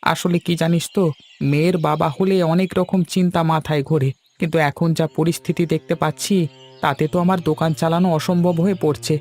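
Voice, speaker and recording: A man plays a middle-aged cartoon shopkeeper, a weary and anxious father. His voice is high for a man and plaintive, fretting along over background music. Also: steady tone, a little fast, darkish tone, natural voice. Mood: weary, worried. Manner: plaintive, fretful. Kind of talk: cartoon dialogue.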